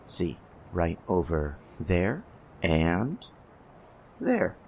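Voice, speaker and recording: A man's voice: low-pitched, huge pitch swings, very slow, a little mellow, a little hiss or hum, exaggerated voice. A man playing a wry, deferential proprietor who sells willing humans to vampires, talking like a theatrical, obliging salesman in an ASMR roleplay. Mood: businesslike. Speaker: shopkeeper.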